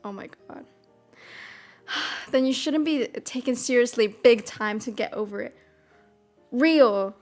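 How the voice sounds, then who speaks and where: A woman's voice: a little high, takes it slowly, long pauses. Bubbly young woman, mild Midwestern accent. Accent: mild Midwestern American. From American South. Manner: bubbly, rambling, lots of "freaking" and "oh my God". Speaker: streamer. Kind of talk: casual chat. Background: soft piano music.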